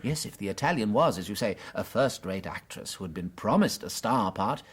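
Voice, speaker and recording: An English actor playing a gentle, unworldly but shrewd priest detective in a radio drama, hesitant and politely musing. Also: male voice, fairly low pitch, lively intonation, fairly quick, breathy edge. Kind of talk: full-cast radio drama acting.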